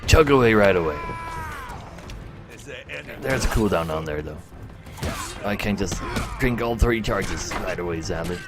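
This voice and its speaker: A man's voice: deep voice, expressive, measured pace, long pauses, loud music behind the voice. A man livestreaming a game, mixing muttered commentary with dramatic readings of the game's text. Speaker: live streamer. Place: indoors, livestream with game music behind.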